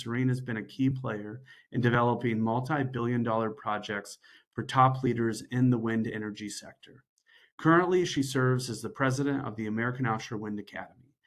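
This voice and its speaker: A man hosting a webinar, reading a formal, scripted introduction of the speaker. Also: medium pitch. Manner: formal, scripted, quieter than usual. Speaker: webinar host.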